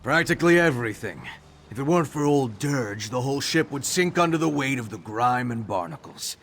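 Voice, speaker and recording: A man's voice: mid-range voice, expressive, fairly quick, fairly bright tone, a little hiss or hum. A man voicing a brusque, grumbling, self-important ship's hand in a video game. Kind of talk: scripted video game dialogue.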